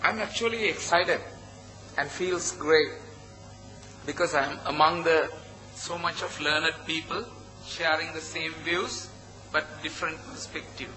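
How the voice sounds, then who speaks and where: A man with a Sri Lankan accent giving an earnest conference presentation in short, halting phrases. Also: a little high, music in the background, rough recording. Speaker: conference presenter, project founder. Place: conference hall at a hotel.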